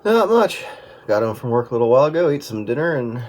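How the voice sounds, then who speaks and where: A man's voice: mid-range voice, sing-song, fast, a little mellow. A middle-aged man chatting casually over amateur radio in a rambling way, often restarting his sentences.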